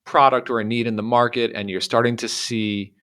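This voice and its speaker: A man's voice: mid-range voice, steady tone, brisk, a bit bright, smooth. A man hosting an interview, asking probing questions and restarting them as he goes. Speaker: podcast host.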